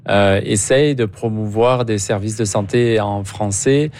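A male radio host with a somewhat low voice asks long, carefully framed questions. He speaks in an even, conversational interview manner. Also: unhurried.

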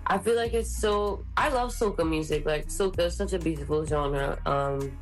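A woman's voice: low-pitched, normal speed, soft background music, rough recording. A young woman from the Caribbean, a singer, talking casually and confidently in an interview, full of "like" and "you know". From Trinidad and the Caribbean islands. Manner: bubbly, heavy use of "like", confident. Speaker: singer guest.

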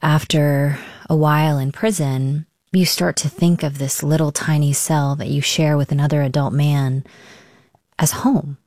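A woman conducting a broadcast interview, asking measured, probing questions. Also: low voice, fairly even intonation, darkish tone, no room sound, studio quality.

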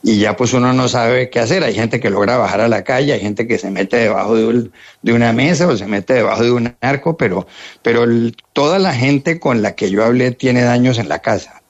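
A man's voice: mid-range voice, moderate pace, lo-fi sound. A Colombian man, a journalist guest on a radio interview, who speaks with many hesitations and repeated words.

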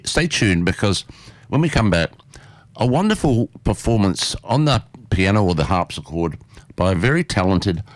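Male radio host, lowish voice, animated delivery, dry studio sound. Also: no echo. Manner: chatty, repeats words, animated. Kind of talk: casual radio interview. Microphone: studio mic.

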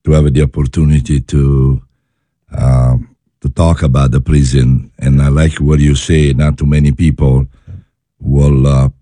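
A man from Italy with an Italian accent, a chef talking as a studio guest in halting English, full of fillers and restarts. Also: rumbling low, level tone, warm tone, no echo. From Italy, born in Naples, raised in Milan. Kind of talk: studio interview.

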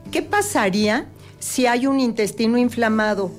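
A woman's voice: a little high, animated, moderate pace, faint music behind. A woman on a talk show, patiently explaining health topics with everyday examples. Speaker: health guest expert.

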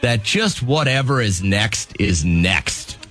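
Lively fifty-something man hosting radio. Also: lowish voice, takes it slowly, soft background music. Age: 50s. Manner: lively, laughs easily. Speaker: radio co-host. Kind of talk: morning radio chat. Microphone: studio microphone.

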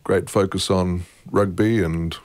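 Man, low voice, dry close recording, wry, relaxed guest talk, middle-aged. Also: a little slow, no room sound. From born in England, raised in Germany and Australia. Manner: wry, self-deprecating, chatty with laughs. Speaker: guest, opera music head. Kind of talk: relaxed conversational interview.

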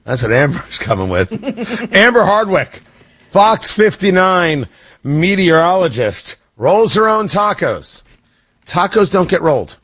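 A man's voice: high-pitched, very animated, a little slow, a bit bright, slightly rough, dry recording. A man hosting a morning radio show, talking in a joking, teasing, chatty way. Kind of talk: casual morning radio banter.

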